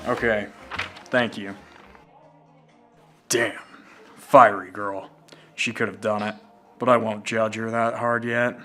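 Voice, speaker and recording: A man's voice: on the low side, level tone, slow pace, pauses often, bright, forceful tone. A man playing a blunt, suspicious police detective in a noir radio drama. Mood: brooding inner monologue. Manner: hard-boiled, interrogating. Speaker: student voice actor. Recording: amateur radio drama with music underneath. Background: music.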